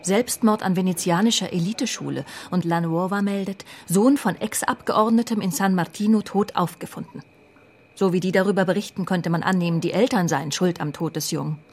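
An actress playing an efficient, wry police secretary in a naturalistic radio drama. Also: female voice, fairly low pitch, a little fast. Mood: disapproving.

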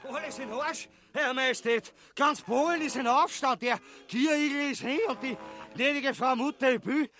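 An actor playing an excitable young soldier who serves as messenger to a king in a grotesque comic radio play. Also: very high-pitched, normal speed, soft background music. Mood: alarmed reporting.

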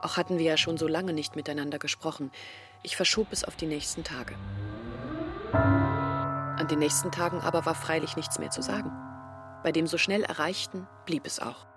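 A woman's voice: low voice, steady tone, moderate pace, long pauses, over loud music. An actress playing a troubled young woman who earnestly recounts her family's long hardship in a literary radio drama. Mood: distressed, hushed. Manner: earnest, reflective storytelling in long sentences. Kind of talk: German literary radio drama acting, monologue.

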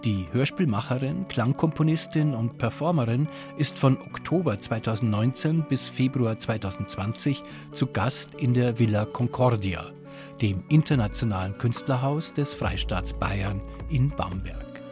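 A man's voice: medium pitch, measured pace, over music. A man presenting and interviewing for radio in scripted narration and questions.

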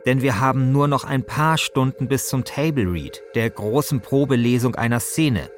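A man's voice: medium pitch, normal speed. A man narrates a podcast from a script in the first person, as its host. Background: music.

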